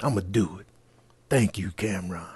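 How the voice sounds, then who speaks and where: A man's voice: sits low, moderate pace, darkish tone. A man doing comedic voiceover commentary over video clips, as a comedian.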